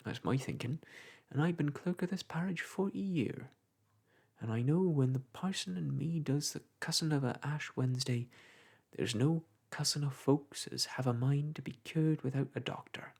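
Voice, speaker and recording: Man doing a garrulous old village clerk, gravelly, husky. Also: a little high, darkish tone, exaggerated voice. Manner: rambling, folksy, lecturing.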